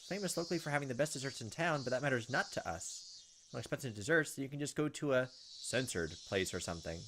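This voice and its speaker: Man, middle pitch, quick narration, casual asides, music behind. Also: expressive, noisy background. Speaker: gameplay host. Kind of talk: visual novel read-aloud with casual commentary. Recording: loud game music behind.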